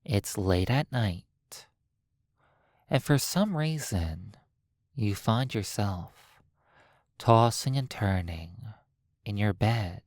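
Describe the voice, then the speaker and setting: A hypnotist narrating a guided sleep session in a soothing manner, leaving long pauses between short phrases. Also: mid-range voice, expressive, a little mellow, no echo, studio quality.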